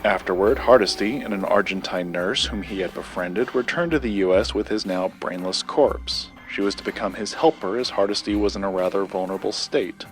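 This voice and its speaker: A man acting in an audio drama as a secretive collector, recounting a dark legend in a measured, deliberate way with dramatic pauses. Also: on the low side, soft background music, lightly acted. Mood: measured, confiding.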